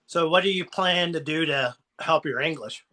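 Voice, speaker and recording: A man with a southern Ohio American accent talks in a loose, rambling way, offering folksy encouragement on a casual live stream. His voice is very bright and ringing and sits a bit high for a man. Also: normal speed. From Ohio, USA. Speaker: motivational live-stream host.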